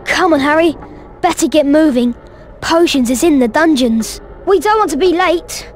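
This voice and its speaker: A plucky young schoolboy played by a woman in a wizard game. The voice is high and boyish, with a friendly edge. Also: expressive, moderate pace. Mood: urging.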